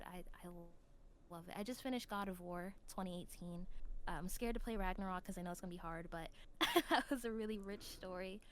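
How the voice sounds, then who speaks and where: A young adult woman chatting casually as a podcast guest, telling stories in an animated, slangy way. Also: fairly low pitch. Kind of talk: casual chat. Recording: clear background noise.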